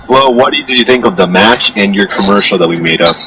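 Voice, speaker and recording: Joking man hosting, low voice. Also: expressive, brisk, low-quality recording. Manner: joking, crude, leads the talk. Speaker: host. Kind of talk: casual chat.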